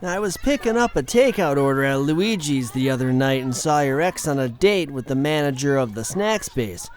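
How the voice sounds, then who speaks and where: A man's voice: medium pitch, expressive, normal speed, natural voice. An actor playing a breezy, tactless male friend with a gossipy, bro-ish manner in a horror comic dub.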